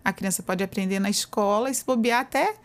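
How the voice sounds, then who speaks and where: A woman's voice: medium pitch, fairly quick. A woman professor with a Brazilian Portuguese accent, answering interview questions in an explanatory, conversational way, often adding "né". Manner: explanatory, uses many fillers like "né". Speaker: university professor, guest.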